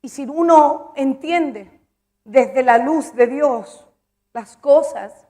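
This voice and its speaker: High-voiced woman preaching a sermon. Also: extremely slow, warm tone. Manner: emphatic, repeats phrases, asks the congregation questions.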